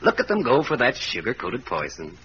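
An actor playing a wry, confident newspaper sleuth with an American accent, in old-time radio crime drama style. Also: male voice, mid-range voice, wildly swooping pitch, fairly quick, a bit bright, slightly rough, muffled recording, heavily acted. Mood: playful, sardonic. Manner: wry, breezy, needling. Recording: noisy, band-limited old radio recording.

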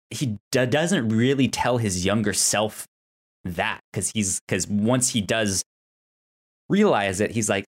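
A man co-hosting a casual TV recap discussion, halting and stammering over repeated sounds and words. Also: fairly low pitch. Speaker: podcast co-host.

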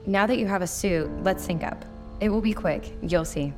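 An actress playing a wry, practical spaceship mechanic in a naturalistic audio drama. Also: female voice, medium pitch, moderate pace, over music, close to an everyday voice. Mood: wry, guarded.

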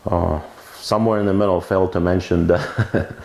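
A deep-voiced man recalls his life in a loose, meandering way, often correcting himself. His voice is gravelly and rough. The room is echoey, with a light hiss behind him. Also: steady tone, normal speed, a little mellow. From Lebanon. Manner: rambling, self-correcting storyteller. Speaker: winemaker interviewee. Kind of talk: oral history interview. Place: winery. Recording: roomy recording with faint noise.

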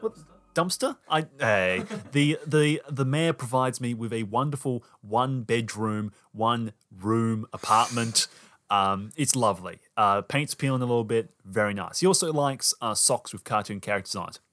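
A man's voice: medium pitch, moderate pace, a bit bright, slight background noise. A man introducing an improvised role-playing show in a chatty, playful way, making things up as he goes. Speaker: podcast host and role-playing player. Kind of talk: jokey introduction of an improvised audio drama.

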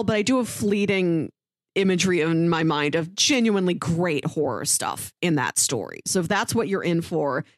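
A woman's voice: on the low side, dry recording, clean studio sound. A woman co-hosting a podcast, giving recommendations in a chatty, informal way with casual asides. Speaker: podcast co-host.

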